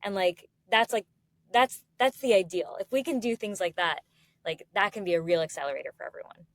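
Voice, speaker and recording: A woman's voice: medium pitch, a little fast. A woman hosting an interview in a casual, conversational way, enthusiastic as she recaps and asks questions. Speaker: interview host.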